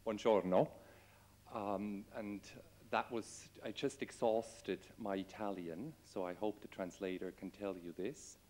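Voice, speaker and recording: A man's voice: fairly low pitch, steady tone, measured pace, darkish tone. Man giving a conference talk. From Switzerland. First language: Swiss German. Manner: addresses audience directly, asks questions. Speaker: conference speaker. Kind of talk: conference presentation. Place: conference room with window. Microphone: table microphone.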